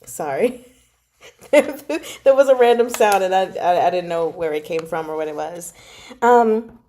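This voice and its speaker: A woman with a chatty, giggly, expressive way of talking, casually hosting a livestream book club. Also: fairly low pitch, unhurried, a little hiss or hum. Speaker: host of a book club reading stream. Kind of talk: casual livestream chat about a novel.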